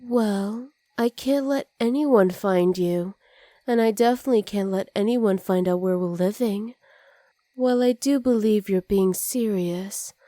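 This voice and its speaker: Woman acting a smug, mellow-voiced supervillainess. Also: medium pitch, dry recording, close to an everyday voice. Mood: firm, possessive. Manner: smug, teasing, commanding, often dropping to soft whispers. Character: dominant female supervillain with superpowers, teasing and possessive. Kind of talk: ASMR roleplay.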